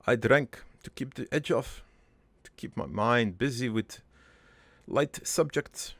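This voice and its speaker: A man playing a gruff, crude army veteran turned prankster, telling his regretful life story in a halting, matter-of-fact ramble for an improvised cartoon monologue. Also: medium pitch, a bit bright, no echo. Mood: somber, weary.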